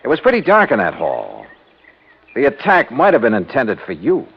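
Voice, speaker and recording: An actor playing a wry, brisk insurance investigator who narrates in the first person, in an old radio drama. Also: male voice, medium pitch, huge pitch swings, gravelly, slightly rough, exaggerated voice. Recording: dull old radio broadcast with music.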